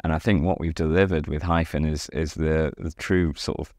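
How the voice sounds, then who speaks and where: A man's voice: rumbling low, fairly even intonation, moderate pace, darkish tone, no room sound, studio quality. A middle-aged man explaining a product in an unscripted interview, hesitant and often repeating words as he goes. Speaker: loudspeaker company spokesman. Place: dim indoor demo room, seated.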